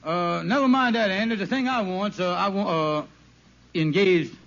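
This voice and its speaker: An actor with an American accent playing a scheming, smooth-talking lodge brother in an old radio sitcom, hesitant and wheedling with folksy grammar. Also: male voice, high-pitched, moderate pace, fairly bright tone, slight background noise. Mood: anxious. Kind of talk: 1950s radio sitcom acting. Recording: muffled old radio recording.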